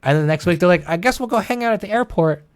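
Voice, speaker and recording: A man's voice: high-pitched, lively intonation, very fast, smooth. A man co-hosting a gaming livestream, chatting casually with an exasperated, sweary, ranting manner.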